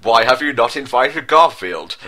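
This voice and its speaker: A man's voice: mid-range voice, animated, unhurried, pushed and bright, pitched above the performer's own voice. A man voicing a haughty, challenging queen in a story, with a declamatory delivery. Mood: haughty challenge.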